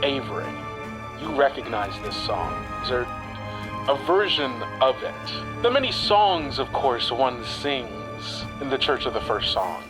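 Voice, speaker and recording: A man narrates a tabletop adventure as game master. His middle-pitched voice moves slowly and builds suspense in a measured storytelling style, with music clearly playing underneath. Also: big pitch swings, rough recording. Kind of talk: slow dramatic tabletop narration. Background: music bed.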